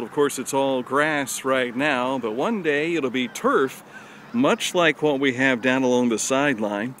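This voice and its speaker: A man, chatting through a handheld walking tour of an outdoor high school stadium, folksy and proud of his hometown. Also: a little hiss or hum. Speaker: local stadium tour guide. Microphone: handheld camera at arm's length.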